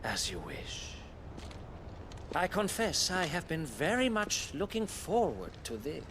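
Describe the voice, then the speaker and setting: A man's voice: a little high, big pitch swings, slow, a little hiss or hum, heavily acted. A man playing a haughty noble villain in a video game, with a mock-courteous, theatrical delivery that savors each phrase. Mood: mocking courtesy. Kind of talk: dramatic video game acting. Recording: loud game music behind.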